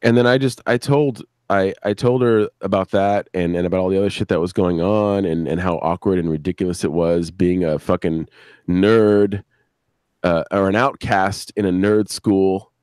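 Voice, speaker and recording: Man, middle-aged, very low voice, dry close sound. Halting, sweary, casual storyteller on a live stream. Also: a little mellow, clean tone, no echo. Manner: rambling, halting, crude swearing. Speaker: podcast creator, interview guest. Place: video live stream with a banner behind.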